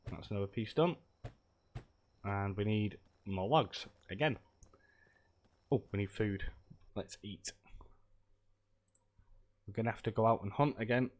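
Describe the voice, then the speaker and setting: A man with a croaky voice from a cold, chatting casually and rambling as he streams himself playing a game at his computer. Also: lowish voice, unhurried, long pauses. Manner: rambling, loose, many hesitations. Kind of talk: casual live-stream chat while gaming.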